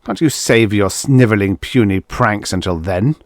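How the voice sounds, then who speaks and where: A man's voice: lowish voice, normal speed, no room sound, studio quality, close to an everyday voice. A man voicing a domineering, pompous diplomat husband in a story, with a contemptuous, commanding, scolding manner. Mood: furious.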